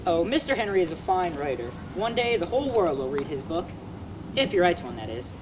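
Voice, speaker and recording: A friendly, gossipy workman with a family at home, played by a woman in an amateur reading. The voice bounces lively and quick. Background noise sits under every line. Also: fairly low pitch. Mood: chatty. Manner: chatty, folksy, teasing. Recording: noisy amateur recording.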